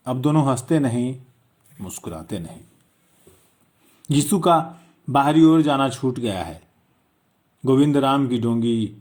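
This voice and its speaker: A male storyteller narrates literary fiction in a dramatic style. His mid-pitched voice moves widely up and down. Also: a little mellow.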